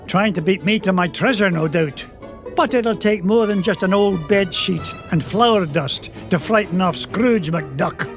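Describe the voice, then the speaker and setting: An actor playing a bold, fond old Scottish duck uncle on a treasure hunt in a cartoon game. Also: male voice, high voice, unhurried, over music. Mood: blustery, intrigued. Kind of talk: animated cartoon game acting.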